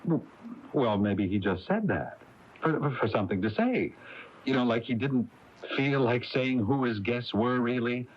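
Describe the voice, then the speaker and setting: An American actor playing a flustered, blustering small-town husband with a folksy manner in a 1980s radio drama. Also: male voice, medium pitch, lively intonation, measured pace. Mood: curious, dismissive. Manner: folksy, stammering, protesting. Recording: dull, hissy AM radio tape.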